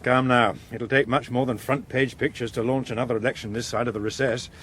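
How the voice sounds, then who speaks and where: An actor playing a suave veteran politician in a radio drama, urbane, measured and drily understated. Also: male voice, medium pitch, steady tone, a bit bright, slight background noise, natural voice. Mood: wry, polite. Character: suave, unflappable veteran politician and chief whip.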